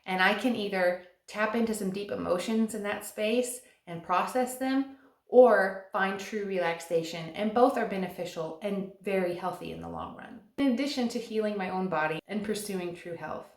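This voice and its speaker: A woman in her thirties giving earnest, encouraging advice to camera, slowing down to stress key words. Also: medium pitch. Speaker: vlogger and stay-at-home mom. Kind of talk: scripted advice talk to camera. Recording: echoey room.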